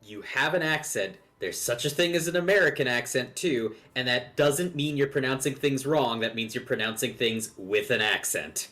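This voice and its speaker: Emphatic mid-pitched man. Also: moderate pace, hardly any pauses, pushed and bright, soft background music. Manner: emphatic, opinionated. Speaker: guest on a group livestream. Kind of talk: casual chat.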